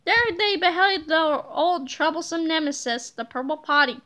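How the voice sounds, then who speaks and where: A woman's voice: high voice, normal speed, bright, forceful tone. A woman reading a children's storybook aloud, recorded at home on a handheld device. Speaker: storybook reader. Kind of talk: children's book read-aloud.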